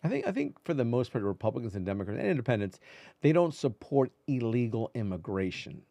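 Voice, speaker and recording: A man's voice: medium pitch, big pitch swings, quick pace, creaky, mellow, no echo. A man speaking as a veteran reporter and interview guest, in casual conversational talk full of repetition and asides.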